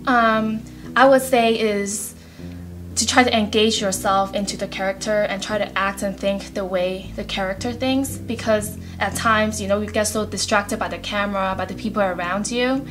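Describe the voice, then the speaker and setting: A young woman, an actress answering interview questions in an earnest, measured way. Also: mid-range voice, fairly even intonation, over music.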